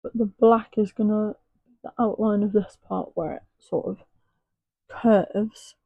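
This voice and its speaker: A young woman chatting casually through a makeup tutorial, her voice a little hoarse as if it is going. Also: steady tone, measured pace, no room sound, phone-quality sound. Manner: chatty, rambling, soft and low at times. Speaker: makeup artist.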